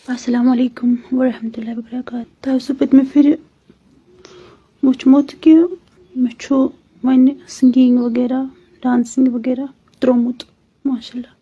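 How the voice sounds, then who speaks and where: A woman's voice: high voice, level tone, unhurried, long pauses, mellow, breathy edge, muffled recording. A woman with a Kashmiri accent making a pleading personal appeal in a recorded phone message, often repeating her requests.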